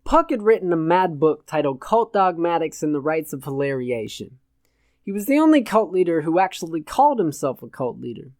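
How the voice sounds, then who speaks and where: A man narrating satirical fiction from a script in a measured, literate way. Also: fairly high pitch, big pitch swings, a little mellow, dry recording, studio quality. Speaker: audiobook narrator.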